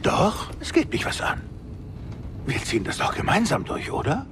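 An actor playing an excitable, soft-hearted gambler pilot, an alien, in a game audio drama, chummy and pleading. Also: male voice, high-pitched, normal speed, noisy background. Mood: pleading, remorseful. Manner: chummy, pleading, wildly swooping delivery. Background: music.

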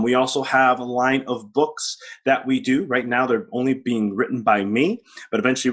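A man's voice: mid-range voice, a bit bright. A man speaking as a podcast host, introducing the show and chatting with a guest in a conversational way. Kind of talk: podcast hosting, conversational interview.

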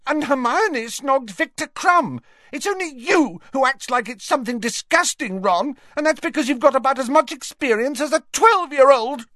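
A man voicing a furious, mocking teenage girl with a shrill, combative way of talking, reading from a storybook. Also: lively intonation, slow, few pauses, a bit bright, dry recording, studio quality. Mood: mocking, upset.

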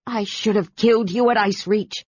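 A woman's voice: medium pitch, takes it slowly, a little mellow, no room sound, muffled recording. A woman voicing a fierce, defiant warrior woman on trial in a fantasy audiobook. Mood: furious. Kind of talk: audiobook character voice.

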